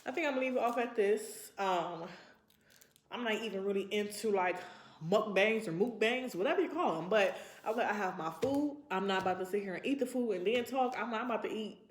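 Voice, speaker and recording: A woman's voice: medium pitch, a little fast. Rambling young woman in an echoey room. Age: 20s. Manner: rambling, reflective, informal. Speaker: vlogger. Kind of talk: casual chat to camera. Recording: roomy, echoey sound.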